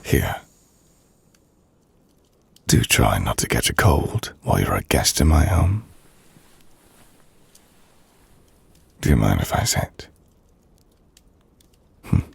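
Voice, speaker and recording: A man playing an ancient, brooding vampire lord in an intimate ASMR roleplay, speaking formally and drily in a gravelly, breathy near-whisper. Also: extremely low pitch, big pitch swings, no echo, heavily acted. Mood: quietly attentive. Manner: formal, measured, dry. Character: ancient brooding vampire lord, dryly teasing, courteous host.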